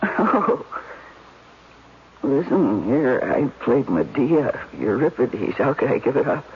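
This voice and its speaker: An American woman playing a desperate, wryly theatrical married actress in a 1970s radio drama, emotional and halting. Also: rumbling low, very animated, heavily acted. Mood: despairing, theatrical. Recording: dull, noisy old radio broadcast.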